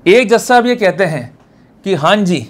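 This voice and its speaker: High-pitched Indian man lecturing emphatically. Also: lively intonation, measured pace. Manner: emphatic, addresses listeners directly with checking questions. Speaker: lawyer giving law lectures. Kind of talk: informal explanatory lecture.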